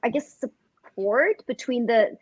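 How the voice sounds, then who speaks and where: A woman chatting casually and earnestly in a book discussion, halting as she searches for words, on dull livestream audio. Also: a little high, animated, breathy edge, no echo.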